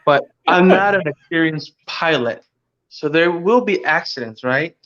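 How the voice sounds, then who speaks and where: A man's voice: on the high side, measured pace, fairly bright tone. A man from Cuba hosting a casual livestream, rambling and joking, chuckling as he talks. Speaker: livestream host. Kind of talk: casual chat. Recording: dull lo-fi stream audio.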